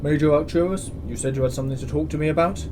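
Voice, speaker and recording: A woman playing a weary, measured station commandant in an audio drama, unhurried and authoritative. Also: deep voice, background noise, close to an everyday voice. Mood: weary, sceptical. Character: measured, reluctant station commandant, senior military officer.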